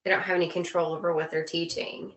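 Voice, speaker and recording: A woman's voice: on the low side, level tone, fast, fairly bright tone, a little hiss or hum. A woman chatting casually as an interview guest, giving opinions emphatically and repeating phrases for stress. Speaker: interview guest, former teacher.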